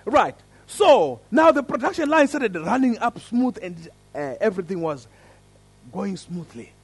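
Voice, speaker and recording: A man with an African accent leading a church service, praying and then telling a story in an earnest, lively way. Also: high-pitched, a little slow, slightly rough, no echo. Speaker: preacher. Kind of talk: sermon with prayer and story.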